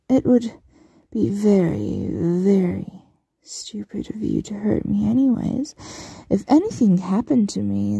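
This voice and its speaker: A woman playing a possessive, smugly menacing mistress in an ASMR roleplay, breathy and often whispering, with a teasing, condescending coo. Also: a little high, mellow, dry recording, full character voice. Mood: threatening. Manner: teasing, condescending, drawn-out cooing.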